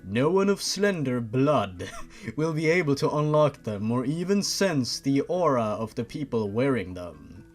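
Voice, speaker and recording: Smug male demon played by a man, gentlemanly, cruel, taunting, slow delivery, horror storybook villain, music underneath. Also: medium pitch, sing-song. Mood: smug, menacing. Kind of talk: creepypasta fan fiction character reading.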